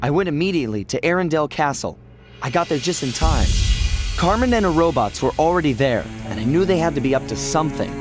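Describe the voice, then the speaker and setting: A brash young male agent, played by an actor, eager and boyish. His voice is fairly high and very lively, in video game style over loud music. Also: quick pace, close to an everyday voice. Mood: recounting. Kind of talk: video game cutscene acting and narration.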